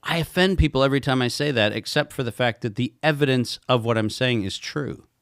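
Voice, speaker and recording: Man, mid-pitched, emphatic guest expert who throws out rhetorical questions; dry, close recording. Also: fairly quick, fairly bright tone, smooth, dry recording. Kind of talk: podcast conversation.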